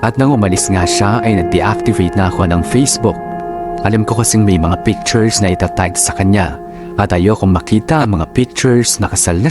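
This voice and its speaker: A man with a fairly low voice narrates a scripted first-person story for radio drama. Music plays clearly behind him.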